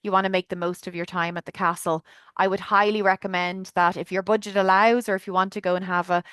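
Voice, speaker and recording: Irish lady gushing about a trip on a video call, clear voice, no room echo. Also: medium pitch, level tone, quick pace, fairly bright tone. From Ireland. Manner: chatty, enthusiastic, lots of fillers. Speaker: tour guide presenting a trip. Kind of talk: webinar presentation.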